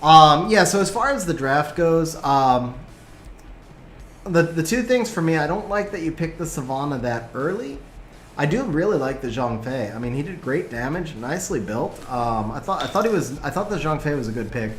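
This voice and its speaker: A man giving lively live commentary on game replays and chatting with viewers, his voice getting worn from a long stream. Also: on the high side, reverberant, slight background noise. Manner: animated, quick play-by-play, bursts of excitement.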